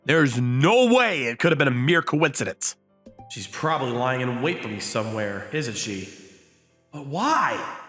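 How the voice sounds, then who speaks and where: A man's voice: mid-range voice, big pitch swings, unhurried, fairly bright tone, roomy sound, long reverb tail, soft background music. A man voicing an easily flustered, incredulous teenage schoolboy in a romantic comedy comic dub. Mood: inner musing. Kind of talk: anime-style comic dub, dialogue and inner monologue.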